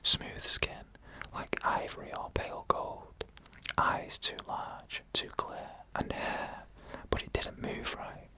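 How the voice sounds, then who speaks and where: A storyteller delivers scary ASMR narration entirely in a breathy whisper. The sound is bright and crisp-edged. Phrases come short and measured, with frequent pauses between them.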